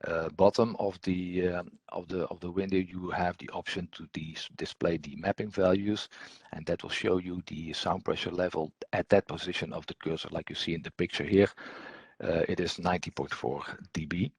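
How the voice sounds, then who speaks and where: Male software instructor, deep and husky, hesitant unscripted walkthrough delivery, dry recording. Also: steady tone, dry recording. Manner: halting, hesitant delivery with repeated words. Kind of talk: unscripted screen-share software tutorial.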